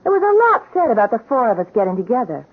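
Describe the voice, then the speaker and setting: Husky, skeptical, wry wife. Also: female voice, fairly high pitch, sing-song, rapid-fire. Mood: bitter. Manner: pointed, sardonic. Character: police sergeant's wife, skeptical, wry, hurt. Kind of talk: radio drama acting. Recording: muffled, band-limited radio drama recording.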